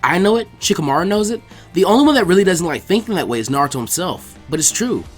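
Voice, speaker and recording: A man's voice: sits high, very animated, quick pace, breathy edge, soft background music, exaggerated voice. A man as a blunt, teasing teenage girl. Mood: frank. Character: blunt, smirking, competitive teenage ninja girl.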